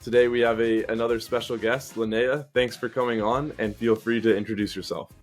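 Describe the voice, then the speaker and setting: A man's voice: mid-range voice, level tone, fairly quick, soft background music. A man hosting a podcast, asking questions in a casual conversational interview style. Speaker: podcast host.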